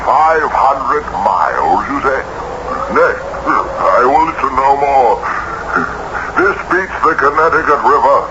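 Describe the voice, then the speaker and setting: An actor playing a weary, courteous, bewildered colonial gentleman ghost, with archaic courtly speech, in a radio drama. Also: medium pitch, animated, slow. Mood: indignant, desperate. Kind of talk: 1970s radio drama acting. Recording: dull noisy old radio recording.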